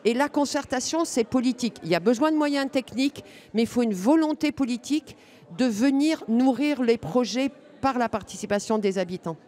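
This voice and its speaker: A woman's voice: a little high, expressive, a little fast, mellow. A woman speaking as an expert consultant in a panel discussion, explaining in structured, repeated points. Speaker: public participation consultant.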